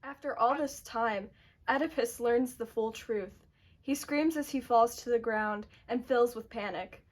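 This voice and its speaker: A woman's voice: fairly high pitch, a little slow. A woman narrator reading scripted dramatic storytelling for a puppet show.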